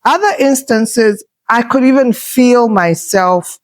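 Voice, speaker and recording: A woman in her fifties hosting a podcast, speaking slowly and deliberately. Her voice is loud and assertive, and she talks in a thoughtful, reflective way with frequent pauses. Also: on the high side. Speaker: podcast host. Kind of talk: reflective conversational talk.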